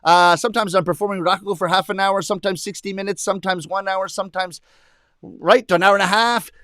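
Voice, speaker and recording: Guy doing a comic story set, lively, high-ish voice, yells the funny bits, dry sound. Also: fast, clean tone, no echo. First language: English. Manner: animated, shouts put-on voices of Japanese ladies. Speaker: rakugo comedian. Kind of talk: stand-up storytelling to an audience.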